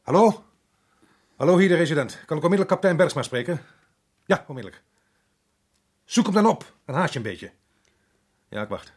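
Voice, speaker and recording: An actor playing a brusque, impatient colonial resident in a radio drama, gruff and bossy. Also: male voice, fairly high pitch, lively intonation, fairly quick, pauses often.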